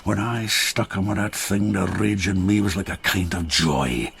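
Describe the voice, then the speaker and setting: An actor playing a rueful rural labourer of old Japan in a radio drama, a wry storyteller with a gravelly, husky voice. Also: male voice, deep voice, normal speed, fairly bright tone, exaggerated voice. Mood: raw confession.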